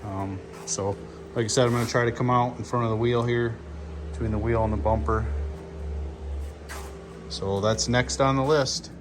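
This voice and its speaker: A man with a mid-range voice talks through his shop work in an informal, plainspoken way. He thinks aloud like someone hosting his own build video. The recording has clear ambient noise behind him. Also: mid-range voice, steady tone, normal speed, long pauses. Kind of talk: casual hands-on shop walkthrough.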